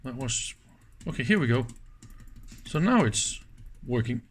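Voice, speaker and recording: A man presenting a casual hands-on demonstration, speaking in halting pieces and often checking in with short questions. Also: medium pitch, very animated, breathy edge. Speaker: presenter of electronics teardown videos.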